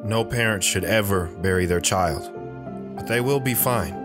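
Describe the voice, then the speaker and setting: Low-voiced brooding soldier played by a man. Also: normal speed, lightly acted. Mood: resigned. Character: reflective, stoic young soldier, husband and father. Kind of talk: audio drama first-person narration and dialogue. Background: guitar music.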